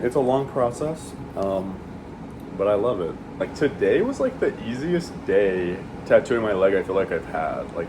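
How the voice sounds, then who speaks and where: Laid-back swearing guy over AC hum. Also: lowish voice. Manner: laid-back, rambling, swears freely, many fillers. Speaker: vlogger eating on camera. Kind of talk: casual chat while eating. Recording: steady air conditioning hum.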